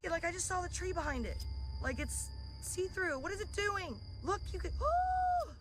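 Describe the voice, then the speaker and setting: A woman's voice: extremely high pitch, sing-song, moderate pace. A woman talking spontaneously as she films as an amateur eyewitness. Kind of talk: spontaneous talk while filming. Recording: noisy amateur outdoor video.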